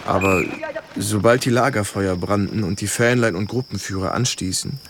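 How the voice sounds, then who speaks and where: An actor playing a troubled young German-American soldier, confiding hesitantly in an intimate radio drama. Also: male voice, fairly low pitch, noisy background, lightly acted.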